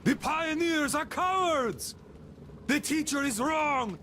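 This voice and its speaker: Game role: mocking young gang sidekick, far higher than natural, strained and excitable in swoops. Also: male voice, slight background noise, exaggerated voice. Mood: excited agreement. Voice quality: high, strained. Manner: jeering, drawn-out exclamations. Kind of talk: video game character acting.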